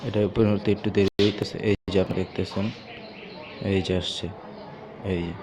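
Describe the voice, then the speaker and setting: A man's voice: low voice, flat delivery, moderate pace, a crowd in the background. A man with a Bangladeshi accent presenting an informal step-by-step app tutorial, repeating himself as he demonstrates.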